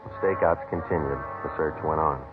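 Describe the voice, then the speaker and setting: A deep-voiced, matter-of-fact police detective from a 1950s radio crime drama. He talks in terse, deadpan sentences. The sound is narrow and dated, with music prominent behind him. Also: fairly quick. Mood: flat, factual. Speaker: lead detective and narrator. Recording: muffled old radio broadcast, music behind.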